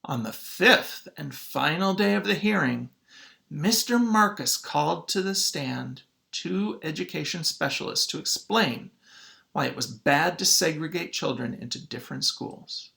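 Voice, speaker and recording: A man reading a children's book aloud as a teacher, with dramatic, emphatic phrasing and pauses. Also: on the high side, lively intonation, creaky, sharp tone. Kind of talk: expressive children's read-aloud.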